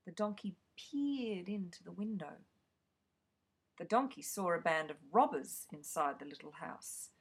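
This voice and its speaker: A woman reading a children's fairy tale aloud, slow and expressive. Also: fairly low pitch, husky. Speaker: storyteller.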